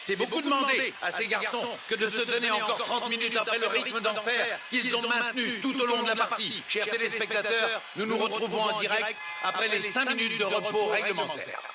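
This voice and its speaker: A man playing an excitable, grandiose football commentator in a dubbed cartoon, with emphatic, theatrical delivery. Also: sits high, a little fast, close to an everyday voice. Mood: solemn. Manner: emphatic, theatrical, formal wording. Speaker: sports commentator. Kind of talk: dramatic football match commentary in a dubbed anime. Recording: echoey, distant, loud music underneath.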